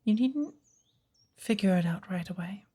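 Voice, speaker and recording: A patient witch of the woods , acted by a woman, teaches magic in an ASMR roleplay. Her voice is husky and breathy, often dropping toward a hush. She chooses her words formally and delivers them with gentle, measured care. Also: mid-range voice, lively intonation. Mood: patient, instructive.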